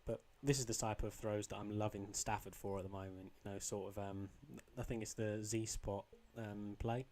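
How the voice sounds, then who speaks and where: A young man with a slightly croaky voice, casually commentating his own football video game match, rambling with ums and restarts. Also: lowish voice, level tone, normal speed, a little mellow. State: a bit croaky. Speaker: gaming channel host.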